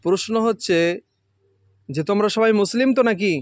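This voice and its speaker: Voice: mid-range voice, moderate pace, no echo. A quiz host, informally questioning children and patiently explaining and repeating the answers.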